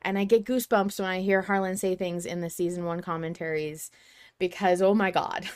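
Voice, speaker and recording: A woman's voice: fairly low pitch, fairly even intonation, fairly quick, clear, resonant tone, dry recording. A woman co-hosting a fan podcast, talking casually in a reflective, enthusiastic way. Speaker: podcast host.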